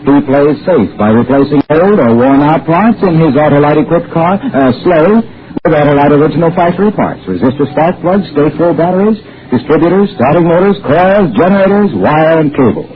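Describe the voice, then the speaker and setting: A man announces in punchy vintage radio style, selling with theatrical flair. His fairly high voice swoops energetically. The recording is tinny and noisy, like an old broadcast. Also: lively intonation, fairly quick. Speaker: radio announcer and sponsor pitchman. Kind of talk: 1940s radio advertising announcement.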